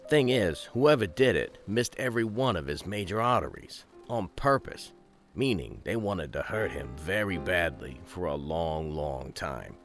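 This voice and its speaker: An actor playing a gruff, cynical, talkative police detective in an audio drama, sardonic and full of streetwise slang. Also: male voice, low voice, lively intonation, unhurried. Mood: wry, matter-of-fact. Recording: music behind the voice.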